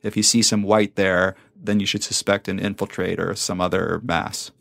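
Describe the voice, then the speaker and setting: Guy w/ lowish creaky voice, podcast host, recaps stuff and asks questions, explainy. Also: level tone, normal speed, a bit bright. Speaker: podcast host, emergency physician. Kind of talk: conversational medical interview, summarizing and questioning.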